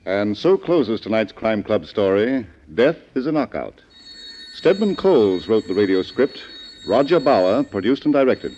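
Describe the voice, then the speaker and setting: Low, animated 1940s radio announcer. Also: male voice, unhurried. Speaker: announcer and host in librarian persona. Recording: muffled, noisy vintage radio recording.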